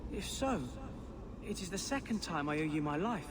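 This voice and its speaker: An actor playing a troubled but earnest young mage who questions everything. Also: male voice, sits high, animated, moderate pace, noisy background. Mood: earnest, resolute.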